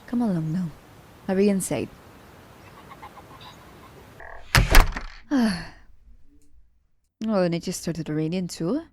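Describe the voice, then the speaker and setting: A woman's voice: lowish voice, unhurried, dark tone, a little hiss or hum. A woman playing a sly, commanding assassin who teases her captive werewolf in an ASMR roleplay, with a haughty, teasing manner. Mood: teasing, relaxed.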